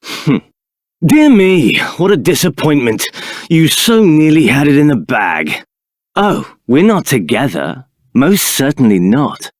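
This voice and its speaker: An arrogant, bitter fantasy game villain with a high male voice. His delivery swings widely in pitch and takes its time, haughty and declamatory, as befits someone hungry for power. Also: sing-song, slow pace, no room sound, clean studio sound. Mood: disdainful, impatient. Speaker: video game voice actor. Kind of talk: theatrical video game character acting.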